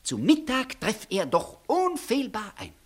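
An actor playing a sly, needling, officious court clerk, declaiming pointedly with a husky voice in a 1960s German radio verse comedy. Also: male voice, high voice, a little slow, exaggerated voice. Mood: ominous reporting. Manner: pointed, mocking, animated declamation.

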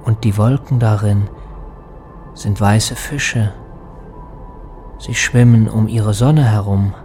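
An actor playing a melancholic lost soul in a poetic radio play, dreamy and lyrical in delivery. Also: male voice, on the low side, steady tone, slow pace, darkish tone. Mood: dreamy, weightless. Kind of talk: poetic audio drama monologue. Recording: music behind the voice.

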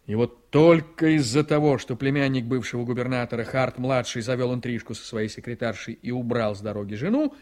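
A man's voice: medium pitch, a little fast, few pauses, a little mellow, natural voice. An actor playing an ambitious, jovial, scheming district attorney in a radio drama, theatrical and exclamatory, repeating his phrases. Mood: confident, animated. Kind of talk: Soviet-style radio drama acting.

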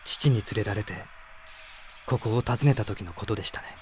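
An actor playing an idealistic young prince with a formal, sincere, intense manner in a radio drama. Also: male voice, on the low side, moderate pace, slight background noise, lightly acted. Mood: earnest pleading. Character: earnest, idealistic young prince leading an army. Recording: music behind the voice.

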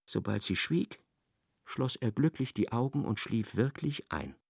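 An actor playing the precise, articulate narrator of a literary radio drama. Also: male voice, mid-range voice, big pitch swings, unhurried, gravelly, a little mellow, husky, dry recording, clean studio sound, full character voice. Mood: precise, wry. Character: precise, observant third-person male narrator of a novel.

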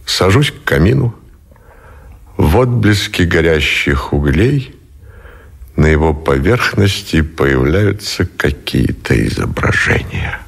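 An actor playing a weary, brooding admiral and supreme commander in a radio drama, speaking deliberately with heavy pauses. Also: male voice, very deep voice, gravelly, slightly rough, exaggerated voice. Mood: grave, reflective.

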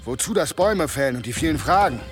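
An actor in a radio play voicing a weary, repentant medieval warrior, grave and resigned in measured phrases. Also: male voice, on the high side, animated, over music, lightly acted. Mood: weary, impatient. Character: weary, repentant medieval berserker warrior sworn against weapons.

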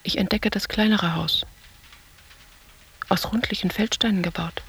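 A woman's voice: medium pitch, measured pace, a bit bright, husky. An actress playing a middle-aged, weary travelling stage woman, speaking an introspective inner monologue in sparse fragments for a radio play. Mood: pensive, desolate. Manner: sparse, fragmentary, reflective phrases.

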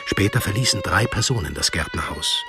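Deep voiced guy narrating a radio play, literary, lots of melody in the voice, music behind. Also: huge pitch swings, a little fast.

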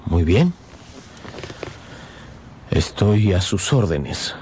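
An actor playing a polite, awkward young suitor in a radio drama, with a deferential manner. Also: male voice, sits low, sing-song, extremely slow, a crowd in the background, full character voice. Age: young adult. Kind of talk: Spanish-language radio drama acting.

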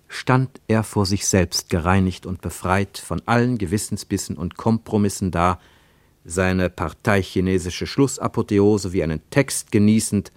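A man reads literary prose for radio as a narrator, with deliberate, emphatic phrasing and dramatic pauses. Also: deep voice, a little mellow, no echo.

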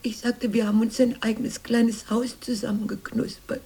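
A wistful, anxious sister from a fairy tale, grown up and looking back. Her voice is very husky and mellow, often sinking to a breathy whisper, dreamy yet insistent in radio-drama style. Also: a little high, flat delivery, moderate pace. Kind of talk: German radio drama acting.

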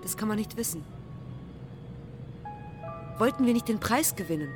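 An actress in a radio play as an earnest, insistent young woman with deliberate, precise phrasing. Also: medium pitch, animated, lightly acted. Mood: dry, matter-of-fact. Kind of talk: German radio play dialogue and recitation. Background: music.

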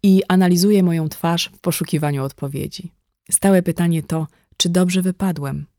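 A woman reading a first-person nonfiction audiobook in a measured, articulate way. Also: fairly low pitch, steady tone, mellow, no room sound, studio quality. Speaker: audiobook narrator.

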